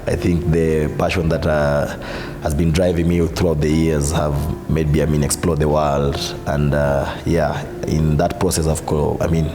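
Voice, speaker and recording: A man, speaking casually about himself in an interview. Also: rumbling low. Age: 30s. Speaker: interviewee, rugby player. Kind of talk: casual personal profile interview.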